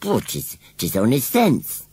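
A man voicing a sulky, proud old scarecrow in a storybook, with a rural English accent and grumbling, drawn-out speech. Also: medium pitch, wildly swooping pitch, a little mellow, breathy edge, voice pushed up, heavily acted.

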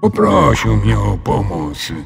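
Man voicing a very gravelly, bumbling friendly dragon. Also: lowish voice, huge pitch swings, unhurried, soft background music, full character voice. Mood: sheepish. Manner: hesitant, drawling, sheepish. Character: big bumbling friendly male dragon, slow and hesitant. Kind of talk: children's audio story acting.

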